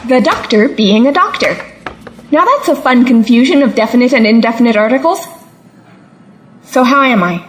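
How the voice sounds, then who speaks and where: A woman's voice: a little high, animated, normal speed, pauses often, slight background noise, close to an everyday voice, poor audio. A woman playing a plucky, dryly sarcastic travelling companion in an audio drama, with a quipping manner. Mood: puzzled, wry.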